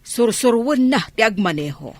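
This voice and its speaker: A woman's voice: on the high side, expressive, moderate pace, a little mellow, dry recording. A woman with an Ilocano accent playing a well-off mistress of the house in a radio drama. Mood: matter-of-fact.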